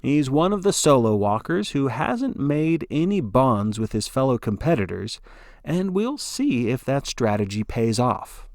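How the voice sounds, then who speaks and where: A grandiose male play-by-play broadcaster from a dystopian audio story, acted out by a man. He is reverent and excitable, with a very lively, mobile pitch. He takes his time over the words for theatrical weight. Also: a little slow, dry recording, studio quality. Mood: amused, brisk. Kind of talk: play-by-play sports commentary.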